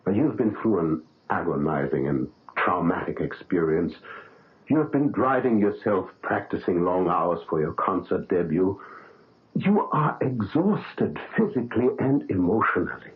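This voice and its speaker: A calm, reassuring older male doctor, with a low voice and a fatherly, reasoning manner. The sound is narrow and lo-fi, like an old 1970s radio drama. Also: a little slow. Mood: soothing.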